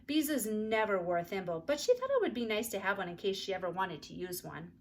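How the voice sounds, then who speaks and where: A woman narrating a children's story aloud in an expressive storytelling style, with faint noise behind her. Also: medium pitch, brisk.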